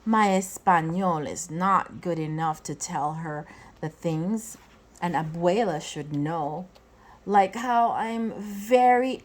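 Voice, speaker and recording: A woman reading a children's picture book aloud in an expressive way, phrasing deliberately and acting out the dialogue. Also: on the low side. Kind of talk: expressive storybook read-aloud.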